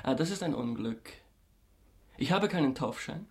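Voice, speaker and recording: Actor as higher-voiced, slippery young viscount. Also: male voice, animated, moderate pace, darkish tone, lightly acted. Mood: delighted, ingratiating. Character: young impostor viscount, eager, slippery, ingratiating. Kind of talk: German radio drama acting.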